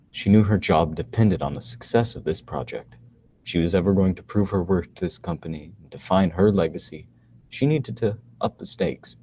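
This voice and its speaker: A man's voice: sits low, darkish tone, a little hiss or hum. A man reading scripted narration as the storyteller of a radio play.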